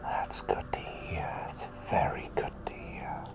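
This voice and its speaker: A man playing a gentle, doting plague doctor in a soothing whispered ASMR roleplay, attentive and softly playful. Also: slow pace, lightly acted. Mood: tender, reassuring.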